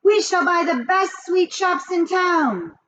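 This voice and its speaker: A woman's voice: extremely high pitch, expressive, slow, fairly bright tone, voice pushed up, heavily acted. A woman squealing as a fawning witch chorus. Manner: squealing, eager chanting. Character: crowd of fawning, overexcited female witches. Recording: dull lo-fi recording.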